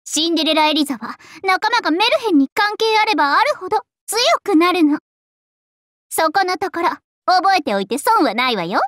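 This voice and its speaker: Super high girly anime game dragon princess, vain and playful, voice swooping everywhere, dry recording. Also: expressive, normal speed, a bit bright, no echo. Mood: haughty, teasing. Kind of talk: Japanese anime game character voice lines.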